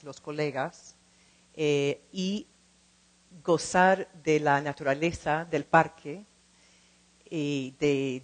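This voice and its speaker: A middle-aged woman speaking in a second language as she gives an informal talk, halting and repeating words as she searches for the next one. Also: deep voice. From lives in California. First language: not Spanish, speaks it as a second language. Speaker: translator and program co-director.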